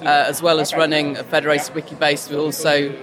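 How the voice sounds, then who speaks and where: A man in a slightly high, flat voice runs fluently through a technical project summary. A crowded room hums behind him. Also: a little fast, a crowd in the background. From Cambridge, UK. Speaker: project worker. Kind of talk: self-introduction in a group round.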